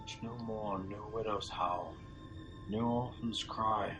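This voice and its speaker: A man's voice: on the low side, steady tone, slow pace, low-quality recording. A man playing a stern, patriotic warrior nobleman, declaiming resolutely in Shakespearean radio drama. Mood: resolute, grieving. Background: music.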